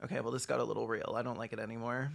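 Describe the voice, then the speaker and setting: A commentator giving sarcastic comedic reactions, campy and theatrical, with deadpan asides and mock acting. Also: mid-range voice, very fast, creaky, music in the background. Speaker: video commentator.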